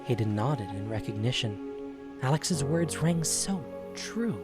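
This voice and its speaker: A man narrating a scripted audio drama in an expressive, measured storytelling manner. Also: medium pitch, over loud music.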